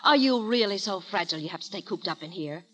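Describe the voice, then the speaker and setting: A woman's voice: medium pitch, lively intonation, quick pace, a bit bright. An actress playing a brash, mischievous young woman in a 1930s radio comedy, sassy and wisecracking. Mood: mocking, needling. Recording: vintage 1930s radio broadcast.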